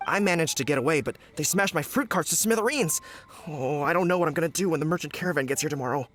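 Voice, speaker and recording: A man playing a flustered, indignant village trader in a video game, complaining in an agitated, hurried way. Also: on the high side, lively intonation, fairly bright tone, soft background music. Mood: indignant, upset.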